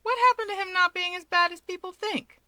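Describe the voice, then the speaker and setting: A woman's voice: very high voice, a little fast, pushed and bright, dry recording, voice pushed up. A woman voicing an easygoing, mischievous young man, a cheeky and bouncy younger brother in a story. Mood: playful protest.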